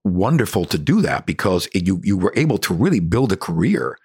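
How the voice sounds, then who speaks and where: A man in his sixties from Cuba with a Cuban accent, an actor telling stories in a casual chat, animated and laughing often. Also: deep voice, a little fast, breathy edge, dry recording, studio quality. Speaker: actor guest.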